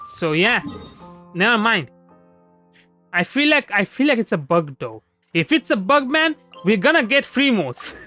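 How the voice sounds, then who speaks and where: A young man from India doing casual live game commentary, musing aloud and repeating himself. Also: very high voice. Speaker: VTuber game streamer. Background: soft background music.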